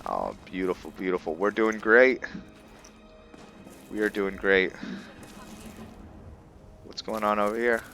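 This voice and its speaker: A man's voice: on the low side, sharp tone. A man giving casual gameplay commentary as a let's player, thinking aloud in short bursts.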